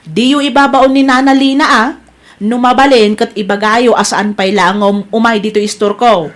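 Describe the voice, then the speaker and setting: A woman's voice: on the high side, fairly even intonation, normal speed, close to an everyday voice. A woman playing a gossipy, complaining shopkeeper in an Ilocano family comedy drama. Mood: worried, complaining.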